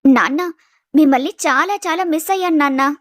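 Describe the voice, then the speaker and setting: A woman playing an affectionate young college-age daughter in a dramatised story, sweet and gently protesting. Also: sits high, normal speed, no room sound, studio quality, close to an everyday voice. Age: young adult. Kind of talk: dramatised moral story acting.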